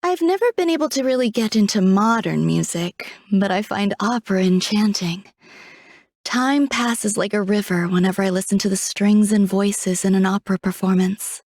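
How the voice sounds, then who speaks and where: A woman voicing a refined, coolly detached genius scientist in a video game, speaking in a composed, measured, poetic way. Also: medium pitch, darkish tone, no echo, clean studio sound, natural voice. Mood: serene, reflective. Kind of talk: video game character voice lines.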